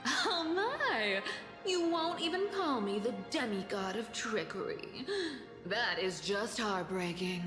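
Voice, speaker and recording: A woman's voice: medium pitch, big pitch swings, slow pace. A woman voicing a playful, cunning catgirl trickster in a video game, sly and mocking in a theatrical way. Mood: mock-hurt, scolding. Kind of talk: dramatic video game voice acting. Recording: echoey hall reverb with music.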